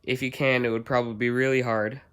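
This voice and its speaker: A man giving a casual, somewhat halting hands-on product demonstration, explaining step by step. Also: mid-range voice, little pitch movement, fairly bright tone, clean tone. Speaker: hobbyist product reviewer.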